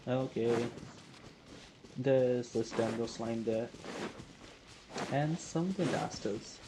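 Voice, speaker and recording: A woman's voice: extremely low pitch, slow pace, background noise. A woman streaming a video game live, chatting casually and narrating her moves. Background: game music.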